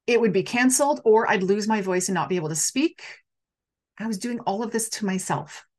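Chatty animated woman on a live stream. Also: mid-range voice, fairly quick. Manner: animated, chatty, reads out viewer comments. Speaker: coach hosting a live stream. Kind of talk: casual live-stream talk to viewers.

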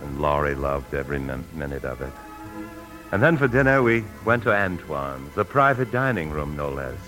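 Very deep male voice, anxious husband on the run, guarded, placating, 1950s radio drama, confessional narration, faint music. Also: very animated, moderate pace, fairly bright tone, full character voice. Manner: smooth-talking, placating, guarded.

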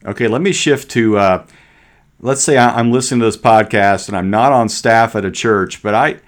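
A man's voice: on the low side, normal speed. A man hosting a podcast interview in a casual, agreeable and attentive way, often giving brief affirmations. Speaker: podcast host.

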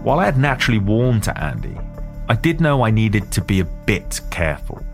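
A man with a southern English accent, narrating a documentary podcast and interviewing a guest in a measured, friendly way. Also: on the low side, big pitch swings, vocal fry, over music.

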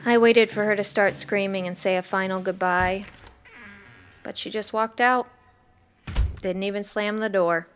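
A woman playing a detached, morbidly curious ER doctor, narrating a horror audio drama in a measured, wry, clinical way. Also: mid-range voice, steady tone, slight background noise, lightly acted. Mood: wry, uneasy.

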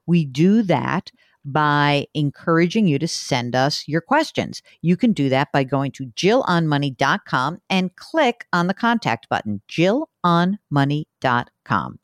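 A woman from New York hosting a podcast in casual chat, animated, wry and self-deprecating. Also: low-pitched, measured pace, no echo, studio quality. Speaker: podcast host. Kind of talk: casual chat, audience address.

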